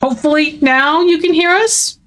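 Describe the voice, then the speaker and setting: Female livestream co-host, notably high voice, informal and wry, casual chat delivery. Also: normal speed, a bit bright.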